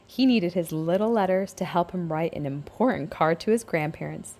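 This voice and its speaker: A woman's voice: on the low side, moderate pace, darkish tone. A woman narrating a scripted picture book aloud for young children, as a storybook reader.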